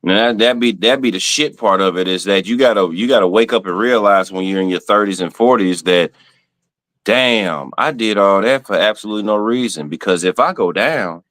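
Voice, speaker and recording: A man from Texas with a low voice chats casually as a podcast co-host. He speaks bluntly and swears freely, and he gets animated when a point fires him up. The sound is dry and close, with no room around it. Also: moderate pace, no echo. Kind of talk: casual chat.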